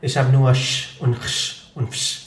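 A man's voice: mid-range voice, little pitch movement, a little slow. A man from Pakistan, a Punjabi speaker, talking as an interview guest. He explains at length, with many hesitations and fillers. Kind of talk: conversational interview. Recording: echoey room.

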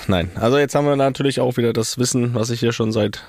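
A man's voice: medium pitch, steady tone, fast, darkish tone, no room sound. A man co-hosting a podcast with playful, boastful banter, reading out listener questions. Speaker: podcast co-host.

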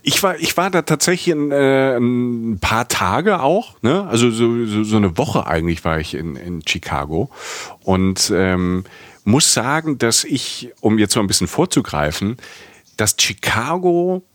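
A man's voice: medium pitch, very animated, unhurried, dry recording. A man co-hosting a casual travel chat, talking enthusiastically and rambling with many restarts and fillers. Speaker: podcast co-host.

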